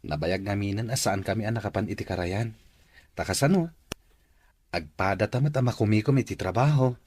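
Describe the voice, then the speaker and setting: An actor playing a friendly, casual young man with a husky voice in a radio drama. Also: lowish voice, fairly quick, darkish tone.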